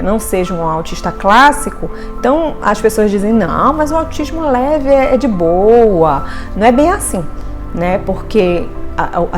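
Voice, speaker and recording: A woman speaks earnestly in an interview, often restarting her sentences. Her voice sits a little higher than usual, and soft music plays faintly behind her. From Brazil. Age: 40s. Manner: earnest, reflective, with many restarts and tag questions. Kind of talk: personal testimony interview.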